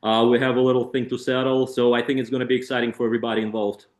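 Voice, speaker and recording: Echoey male interpreter, halting delivery. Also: medium pitch, little pitch movement. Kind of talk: consecutive interpreting on a press call. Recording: echoey room on conference call.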